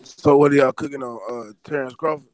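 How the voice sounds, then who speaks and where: A hoarse man losing his voice, calling in and arguing bluntly in a casual chat, swearing freely. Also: medium pitch, fairly even intonation, brisk, a little mellow, low-quality recording. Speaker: caller. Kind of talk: casual debate on a call.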